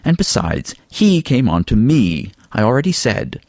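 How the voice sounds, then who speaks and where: A man's voice: medium pitch, very animated, moderate pace, a little mellow, no echo, clean studio sound, pitched above the performer's own voice. A man voicing a vain, flirtatious, theatrical male weasel in an audiobook, haughty and florid in manner. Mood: irritated, defensive. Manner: haughty, florid, wordy, drawling endearments. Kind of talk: audiobook character dialogue.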